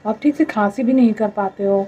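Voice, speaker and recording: A young Indian woman vlogging to camera, hoarse from a throat infection, speaking in a tired, plaintive way and mixing in English words. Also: mid-range voice, a little fast, warm tone, highs cut off. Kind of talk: casual talk to camera.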